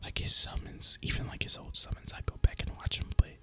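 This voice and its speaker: A man whispers in a breathy, unvoiced voice, rambling casually and reflectively in a relaxed gaming ASMR style, close to the microphone. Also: brisk. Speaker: video creator and gamer.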